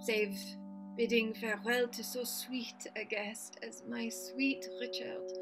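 An actress voicing a grieving queen speaks with slow, weighted sorrow. Her voice sits high and unhurried, with faint music beneath. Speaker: actor in a staged reading. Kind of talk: Shakespeare reading on video call. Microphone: video call webcam.

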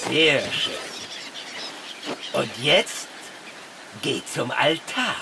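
Fanatical male villain, drawn-out mocking menace, audio drama, noisy effects behind. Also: medium pitch, very animated, creaky, heavily acted. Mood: fanatical, commanding. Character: gloating male villain commanding zombies, theatrical and fanatical. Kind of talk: German audio drama acting.

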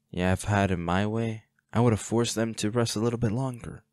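A man playing a protective, defiant hunter boyfriend in an intimate roleplay audio drama, speaking unhurriedly with sighs and pauses. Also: lowish voice, a little mellow, no room sound, clean studio sound, natural voice. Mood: fond, wry. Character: protective, defiant boyfriend, a monster hunter, tender but ruthless.

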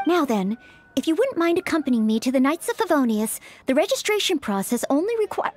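An actress playing an earnest, polite young maid knight in a fantasy game, courteous, attentive and formal. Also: female voice, high voice, big pitch swings, a little fast. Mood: formal, gracious. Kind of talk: scripted fantasy game voice acting.

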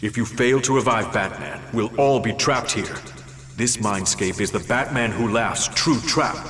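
Deep, solemn alien hero. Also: male voice, unhurried, fairly bright tone. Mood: urgent concern. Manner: formal, grave. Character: solemn, noble alien telepath hero, mature and steadfast. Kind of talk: video game hero acting.

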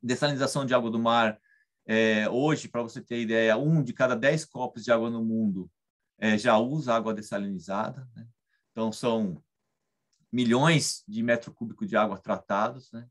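A man's voice: mid-range voice, fairly even intonation, moderate pace. A man in his thirties with a Brazilian Portuguese accent, explaining a technical topic over slides in a webcam lecture, often adding tag questions. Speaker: engineering guest lecturer. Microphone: webcam on video call.

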